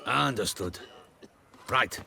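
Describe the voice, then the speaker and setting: Bright, forceful city guardsman. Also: fairly low pitch, expressive, slow, creaky, slight background noise, heavily acted. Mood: brisk, stern. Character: brisk, officious male city guardsman. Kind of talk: video game character acting.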